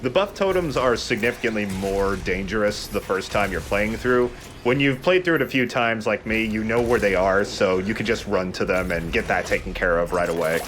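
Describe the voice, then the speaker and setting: Low-voiced man joking over a game. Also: moderate pace, few pauses, fairly bright tone, slight background noise. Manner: joking, profane. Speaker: let's play host playing a video game. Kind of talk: casual gaming commentary with banter.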